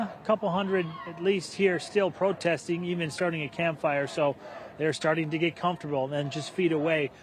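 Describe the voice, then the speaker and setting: A man's voice: a little high, a little hiss or hum. A man with an American accent giving a live television news report outdoors on a city street. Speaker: TV field reporter.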